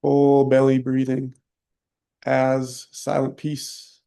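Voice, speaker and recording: Very slow, mellow man guiding meditation. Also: mid-range voice, monotone, creaky, clean tone. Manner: soft-spoken, unhurried, long pauses between short phrases. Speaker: meditation teacher. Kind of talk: guided meditation.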